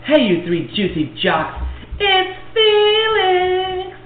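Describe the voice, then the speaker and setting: A man's voice: very high-pitched, wildly swooping pitch, very slow, soft background music, heavily acted. A man playing a flamboyant, campy globetrotter leaving teasing comedy radio voicemails, with a tinny sound. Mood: playful, teasing. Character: flamboyant, campy male jet-set globetrotter, playful and suggestive. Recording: tinny, echoey phone-like voicemail.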